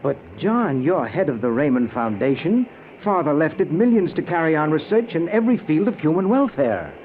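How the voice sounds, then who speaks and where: A man's voice: fairly high pitch, very animated, normal speed, phone-quality sound, a little hiss or hum. An actor playing an obsessed, nervously intense middle-aged man, speaking earnestly and dramatically in a 1940s style radio drama. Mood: urgent, insistent. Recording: thin old radio recording.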